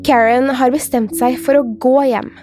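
A woman's voice: high-pitched, steady tone, music in the background. A woman, a podcast host reading a scripted true crime narration.

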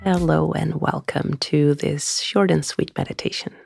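A woman guiding a meditation in a soothing, gentle, unhurried way, often trailing into a breathy near-whisper. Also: low voice, fairly even intonation, music in the background. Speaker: meditation guide. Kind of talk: guided meditation.

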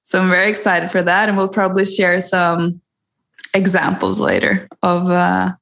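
A woman's voice: lowish voice, moderate pace, vocal fry. A woman hosting a podcast, introducing the episode and welcoming her guest in an enthusiastic way. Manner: warm, enthusiastic, laughs easily. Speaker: podcast host.

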